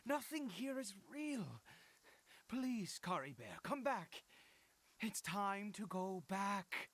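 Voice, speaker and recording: An actor playing a distraught, obsessive middle-aged father in a video game, with halting, stammering, theatrical delivery. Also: high-pitched, big pitch swings, a bit bright, exaggerated voice. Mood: pleading. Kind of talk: dramatic game voice acting.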